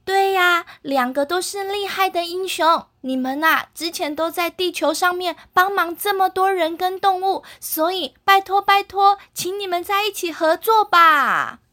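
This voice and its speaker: A woman's voice: very high-pitched, measured pace, few pauses, bright, forceful tone, pitched above the performer's own voice, exaggerated voice. A woman voicing a kind eco fairy in a children's story, coaxing two heroes to work together. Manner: coaxing, pleading.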